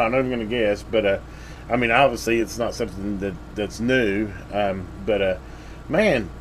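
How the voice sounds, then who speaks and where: A man's voice: mid-range voice, a little mellow, slight background noise, poor audio. A man casually reviewing a radio at his workbench, rambling with lots of fillers and restarts.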